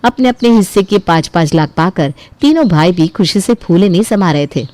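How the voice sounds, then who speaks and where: A woman's voice: on the low side, animated, a little fast, darkish tone. A woman narrating a scripted family story as its storyteller.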